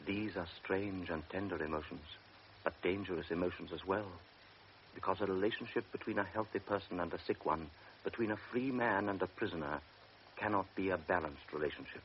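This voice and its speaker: Deep-voiced radio drama narrator. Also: male voice, a little hiss or hum. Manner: measured, grave. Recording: dull old radio recording with music.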